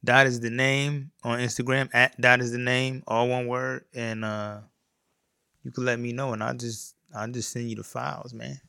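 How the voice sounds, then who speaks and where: A man's voice: mid-range voice, level tone, normal speed, gravelly, pushed and bright, smooth, no room sound, clean studio sound. A man in his forties hosting a solo podcast as a music producer, talking in a rambling, easygoing way with lots of fillers and restarts. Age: 40s. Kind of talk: casual solo podcast talk.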